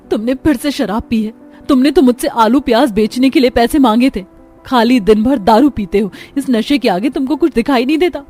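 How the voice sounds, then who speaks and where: A woman's voice: sits high, a little mellow. A woman voicing a poor, overworked mother in a cartoon story, plaintive and melodramatic. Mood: bitter scolding. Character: poor, overworked, long-suffering mother of many daughters.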